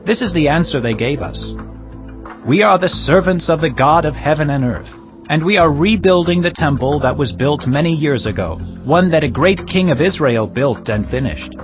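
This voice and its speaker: Dutiful governor, male actor, fairly high voice, earnest and deferential, formal report in a scripture drama. Music underneath. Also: expressive, moderate pace, natural voice. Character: dutiful provincial governor reporting respectfully to his king. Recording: dull lo-fi audio under clear music.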